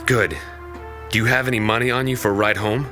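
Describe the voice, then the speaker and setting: A man's voice: fairly low pitch, fairly even intonation, brisk, sharp tone, soft background music. An actor playing a stern, protective young man in an audio drama, terse and commanding. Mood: earnest, reassuring.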